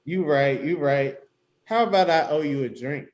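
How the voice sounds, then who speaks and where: A man's voice: a little high, moderate pace, muffled recording, lightly acted. A man playing a laid-back, joking young friend with casual, slangy delivery in a screenplay table read.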